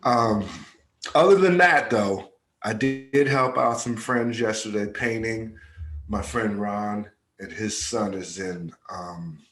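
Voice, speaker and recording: A man's voice: fairly low pitch, slow pace. Congested man, chatty. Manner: animated storyteller, laid-back slang. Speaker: podcast co-host. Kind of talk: casual chat.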